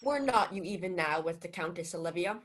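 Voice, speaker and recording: A woman's voice: on the low side, animated, fairly quick, close to an everyday voice. Young woman playing a haughty, scolding male steward. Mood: haughty, peevish. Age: young adult. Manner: pompous, indignant. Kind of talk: 1940s radio play acting of Shakespeare.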